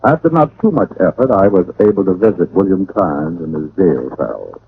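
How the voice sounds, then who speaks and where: A man's voice: deep voice, sing-song, normal speed, creaky, husky, dry recording, full character voice. An American actor playing a witty, sardonic private detective who narrates his case in old-time radio style. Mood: brisk narration. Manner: wry, sardonic. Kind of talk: 1940s-50s radio detective acting and narration. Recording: muffled, hissy vintage radio recording.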